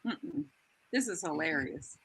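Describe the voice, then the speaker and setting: A woman's voice: on the low side, very animated, a little slow, gravelly, husky, poor audio. A woman in her late forties co-hosting a casual talk show, speaking emphatically and repeating phrases to drive her points home. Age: 40s. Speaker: co-host. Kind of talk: casual chat.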